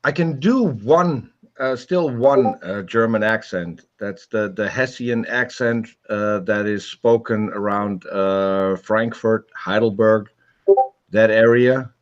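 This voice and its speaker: Guy talking real slow, lots of uhs, muffled lo-fi stream sound. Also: on the low side. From Netherlands. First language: Dutch. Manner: hesitant, many uhs and repeated starts. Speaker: guest in a live chat. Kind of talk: casual live-stream chat. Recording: dull lo-fi stream audio.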